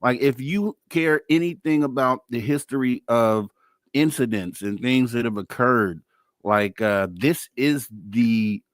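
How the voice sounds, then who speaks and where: A man's voice: mid-range voice, unhurried, dry recording. A man hosting a live radio talk show in a casual, informal, effusive way.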